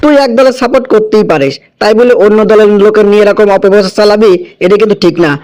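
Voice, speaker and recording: A man's voice: high voice, very fast, a little mellow, soft background music, natural voice, low-quality recording. A man playing an indignant village uncle in a cartoon, hectoring in a Kushtia accent from Bangladesh. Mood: angry scolding. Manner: hectoring, rhetorical scolding. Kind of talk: animated cartoon dialogue.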